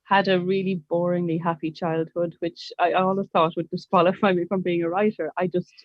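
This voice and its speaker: A woman's voice: fairly low pitch, level tone, fairly quick, no echo, dull audio. A woman in her forties with a Dublin accent, a writer chatting in an interview, self-deprecating with frequent restarts. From Ireland. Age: 40s. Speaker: guest writer. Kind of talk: casual conversational interview.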